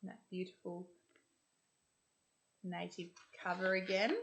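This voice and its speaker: An Australian woman reading a storybook to children, expressive and deliberate in the manner of a school storyteller. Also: lowish voice, gravelly, slight background noise.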